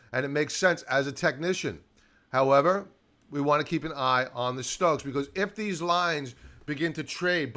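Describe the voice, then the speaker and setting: A man with a slightly high, sharp and bright voice talks through market charts live as a trading host. He is informal but emphatic, restating his key points for stress and posing questions that he then answers himself. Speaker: stock trading show host and technical analyst.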